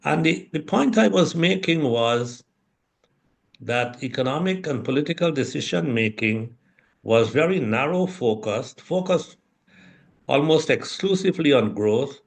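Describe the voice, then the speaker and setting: An older man from Guyana, an interview guest speaking in a measured, thoughtful way with many pauses. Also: fairly high pitch, lively intonation, gravelly. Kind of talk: reflective interview talk.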